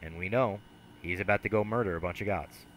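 A man's voice: sits low, a little fast, fairly bright tone, slight background noise, rough recording. A young man hosting a podcast, chatting casually over a headset mic with frequent laughs and casual swearing. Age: young adult. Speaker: podcast host. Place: small room with brick wall. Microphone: headset mic on video call.

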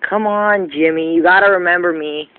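Man, high voice, prank caller, insistent and teasing, muffled phone-quality sound. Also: moderate pace, clear, resonant tone. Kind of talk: casual prank phone call. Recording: muffled narrowband phone call.

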